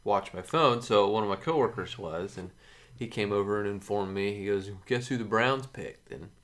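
A young man co-hosting a casual podcast, telling stories in a halting, chatty way. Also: fairly low pitch. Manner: chatty storyteller, halting with fillers. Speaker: podcast co-host. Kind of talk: casual sports chat.

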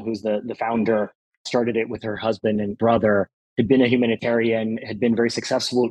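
A man's voice: medium pitch, darkish tone, husky, rough recording. A man from El Salvador, a guest on an interview podcast, talking earnestly and with enthusiasm, often leaning on the word "really.". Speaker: private equity partner, guest.

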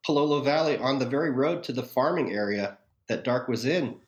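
A man's voice: medium pitch, expressive, fairly quick, roomy sound, highs cut off. A man from Hawaii with an American accent speaks as a podcast guest, telling opinionated stories in a wordy, erudite, wry way. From Hawaii, United States. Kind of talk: conversational interview, opinionated storytelling.

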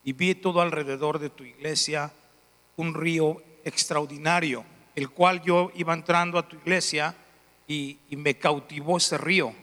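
A man's voice: on the high side, steady tone, moderate pace, pushed and bright. A Mexican man, a church administrator, giving an earnest testimony and repeating key phrases. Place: church hall. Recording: reverberant church hall.

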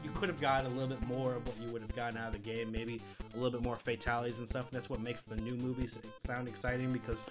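A man's voice: medium pitch, little pitch movement, very fast, hardly any pauses, over music. A man co-hosting a podcast, talking casually and enthusiastically about films with some swearing. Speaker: podcast co-host presenting a movie countdown. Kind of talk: casual film discussion.

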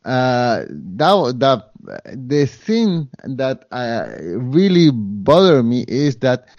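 A man's voice: medium pitch, sing-song, drawn-out words, a little mellow, no echo, highs cut off. A man with a Spanish accent, a guest in an interview, talking earnestly with many fillers and restarts. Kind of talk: interview conversation.

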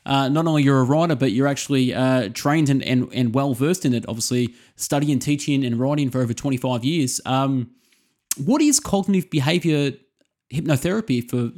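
A man's voice: medium pitch, moderate pace, a little mellow. A man hosting an interview show, introducing his guest and asking questions. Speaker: podcast host, interviewer.

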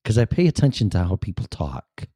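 A man's voice: deep voice, big pitch swings, a little fast, dark tone, slightly rough, dry recording, studio quality. A man with a Chicago accent hosting his live-stream show in a chatty, profane, self-deprecating ramble. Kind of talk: casual rambling monologue.

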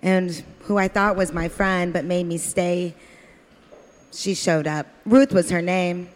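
A woman's voice: fairly low pitch, steady tone, slow pace, slight background noise. A woman playing a lonely, put-upon waitress in a radio comedy, with a rambling, hesitant, self-deprecating manner. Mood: wistful, rambling. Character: lonely, put-upon drive-in waitress who loves her cat.

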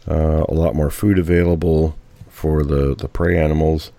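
A man with a cold and a hoarse voice, answering interview questions in a measured way. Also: very deep voice, level tone, dark tone, no room sound.

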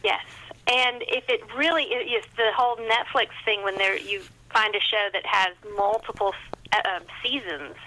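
A woman's voice: medium pitch, moderate pace, bright, forceful tone, a little hiss or hum, rough recording. A woman speaking casually as a guest author in an interview, chatty and self-deprecating.

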